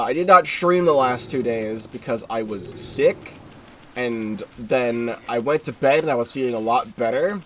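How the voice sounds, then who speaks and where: A man's voice: medium pitch, a little hiss or hum. A congested young man giving rambling, casual commentary while streaming a game live, often correcting himself and swearing offhand.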